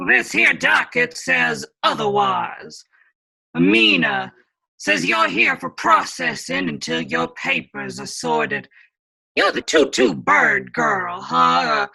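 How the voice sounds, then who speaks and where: A woman playing a gruff, crude troll jailer with a folksy, slangy drawl in an irreverent radio play. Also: fairly high pitch, very animated, takes it slowly, pushed and bright, exaggerated voice, poor audio. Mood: gruff, mocking.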